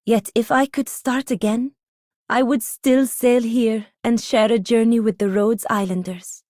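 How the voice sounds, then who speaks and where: A woman's voice: fairly high pitch, steady tone, measured pace, darkish tone, no echo, studio quality, close to an everyday voice. A woman voicing a serene, devoted sage in a scripted video game line.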